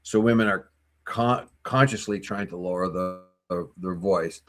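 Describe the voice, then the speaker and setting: Lowish voiced guy cohosting a morning news show, rambly and opinionated, lots of restarts. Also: unhurried. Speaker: co-host. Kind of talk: casual news talk.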